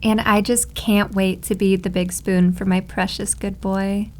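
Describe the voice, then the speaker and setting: A woman's voice: medium pitch, little pitch movement, a little slow, a little hiss or hum, close to an everyday voice. A woman acting as a doting, possessive maid girlfriend in an ASMR roleplay, cooing and confidently teasing. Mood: cooing, affectionate.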